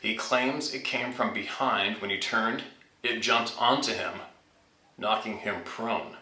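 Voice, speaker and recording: Male story-channel host, lowish pitch, informal read-aloud delivery, distant roomy recording. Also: slow pace, pushed and bright. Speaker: channel host reading out a viewer's submitted story. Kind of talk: reading a listener's scary story aloud, informal. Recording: roomy echo, distant from mic.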